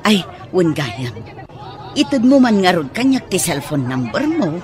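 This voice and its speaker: A woman's voice: fairly low pitch, sing-song, unhurried, crowd noise, background noise. A woman with an Ilocano accent playing an easygoing, sociable mother in a radio drama. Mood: chatty, friendly.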